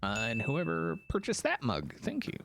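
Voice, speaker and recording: A man hosting a game live stream, chatting casually and thanking viewers, with a gravelly morning voice. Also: medium pitch, wildly swooping pitch. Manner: playful, jokey, thanks donors rapidly. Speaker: livestream host.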